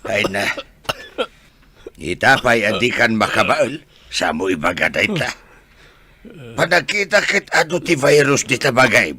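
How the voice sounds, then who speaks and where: A man with an Ilocano accent playing a stern, disapproving older father with a rough voice in a radio drama. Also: mid-range voice, big pitch swings, a little slow, pauses often, pushed and bright. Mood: stern, reproachful.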